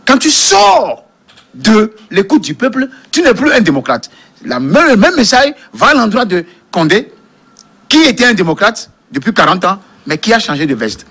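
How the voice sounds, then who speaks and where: A man's voice: high voice, very animated, normal speed, a bit bright. A man debating politics as a panel commentator, emphatic and repeating words to drive his point home.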